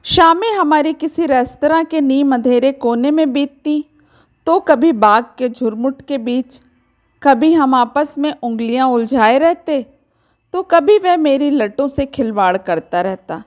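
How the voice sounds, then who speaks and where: A woman with a fairly high voice narrates literary fiction in the first person. She takes her time, reading very slowly and expressively. Her delivery is conversational, as if addressing the listeners directly. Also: lively intonation, a little mellow. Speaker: storyteller reading a short story aloud.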